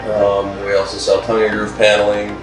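A man's voice: on the low side, monotone, brisk, distant mic. A middle-aged man who owns a lumber business, speaking plainly with lots of "um" fillers in an interview inside a wood-paneled room. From Wisconsin, USA. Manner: plain-spoken, frequent "um" fillers. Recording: roomy sound from off-mic with music behind.

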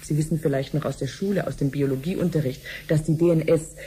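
Woman acting a mellow, low-voiced researcher. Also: steady tone, quick pace, slight background noise, lightly acted. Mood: patient, explaining. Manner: didactic, clearly phrased explaining. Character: female cancer researcher, patient, friendly, eager to explain. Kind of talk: 1970s German radio drama acting.

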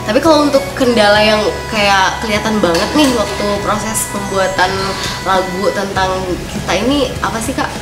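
Young woman interviewer over loud music. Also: mid-range voice, moderate pace. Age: young adult. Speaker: interviewer, host. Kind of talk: casual interview. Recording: roomy echo, loud music behind.

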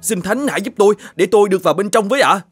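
A man playing a grumpy, fault-finding middle-aged shoemaker in an animated fairy tale, with a scolding, exclamatory manner. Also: high voice, rapid-fire, husky, no echo, clean studio sound, faint music behind, heavily acted. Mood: awed.

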